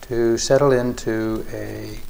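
A male meditation teacher speaks very slowly in a fairly low, even voice with a gravelly creak. He delivers calm, measured instructions in short phrases, leaving long pauses between them. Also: slight background noise.

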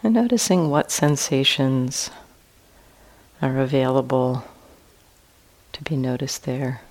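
A woman teaching a guided meditation in a calm, gentle manner with long pauses between phrases. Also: a bass voice.

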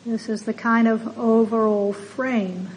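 A woman meditation teacher leading a guided meditation in a gentle, soothing manner, with long pauses between slow phrases. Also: fairly high pitch, steady tone, rough recording. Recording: clear background noise.

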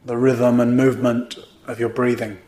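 A man teaching a guided meditation in gently instructive, unhurried phrases with pauses between them. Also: medium pitch, flat delivery. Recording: roomy, echoing indoor sound.

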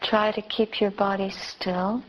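A woman teacher leading a guided meditation with gentle instructions in short, spaced phrases. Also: medium pitch, little pitch movement, unhurried, a little hiss or hum. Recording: dull, lo-fi recording.

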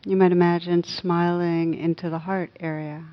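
Woman, guided meditation, gentle, flat steady intonation, unhurried pauses, dull lo-fi sound. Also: fairly low pitch. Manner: gentle, unhurried, long pauses between soft phrases. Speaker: meditation teacher.

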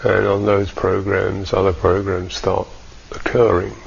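A man teaching a guided meditation in a measured, halting way with long pauses, on a dull recording. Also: low-pitched, monotone, gravelly, slight background noise.

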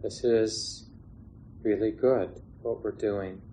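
Male meditation teacher, lowish pitch, flat unhurried delivery with long pauses, faint background noise. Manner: gentle, unhurried, with long pauses between short phrases. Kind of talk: guided meditation. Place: meditation hall with a gathered group. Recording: clear background noise, room ambience.

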